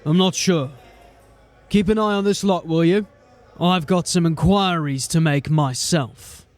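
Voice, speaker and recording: A man voices a gruff, world-weary watch sergeant who speaks plainly and grimly. His voice sits a little high for a man. He stays steady in the way of an audio drama veteran. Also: unhurried, close to an everyday voice. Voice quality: plain-spoken, grim and steady. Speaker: voice actor.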